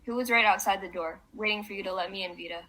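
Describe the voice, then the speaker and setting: A woman's voice: mid-range voice, fast, fairly bright tone, close to an everyday voice, low-quality recording. A woman playing an exasperated, grieving wife at breaking point in a radio drama, firm and reproachful. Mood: hurt, defensive.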